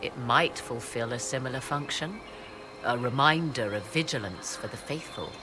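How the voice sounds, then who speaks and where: A woman's voice: extremely low pitch, moderate pace, noisy background. An actress playing a proud, sardonic woman mage in a fantasy game, speaking formal archaic English with a haughty air. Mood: lecturing.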